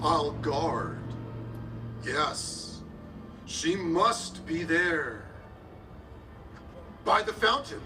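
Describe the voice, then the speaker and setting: A noble, lovesick Elizabethan matinee idol in a radio play. He declaims extremely slowly in a fairly high voice with long dramatic pauses, over clearly heard music. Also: animated. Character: pompous, lovelorn Elizabethan movie heartthrob, melodramatically noble. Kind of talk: hammy period-film melodrama in radio play. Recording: stage radio play, distant mic, music behind.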